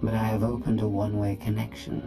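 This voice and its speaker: An aloof female machine intelligence from a video game. She speaks with clinical precision and cold pride, every phrase formal and exact. Loud music plays behind her. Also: extremely low pitch, little pitch movement, fast. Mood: cool, clinical. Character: coldly analytical female droid intelligence, aloof and proud.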